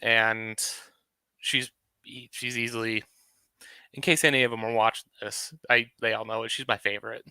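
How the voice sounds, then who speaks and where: A man's voice: medium pitch, level tone, moderate pace, gravelly, sharp tone, clean tone, dry recording, rough recording. A man who runs a landscaping business, talking casually on a video call panel with lots of fillers. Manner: conversational, with frequent fillers.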